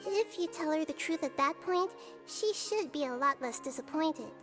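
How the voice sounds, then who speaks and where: A woman voicing a small, wise and kindly child goddess in a video game, with a soft childlike voice and measured, gentle manner. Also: sits high, lively intonation. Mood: reassuring. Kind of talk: animated video game voice acting. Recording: game music playing behind.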